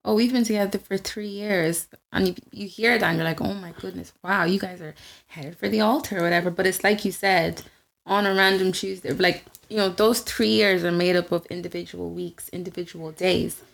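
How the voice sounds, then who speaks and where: A woman's voice: fairly low pitch, steady tone, a little fast, vocal fry. A woman in casual podcast chat, emphatic, often laughing and quoting people.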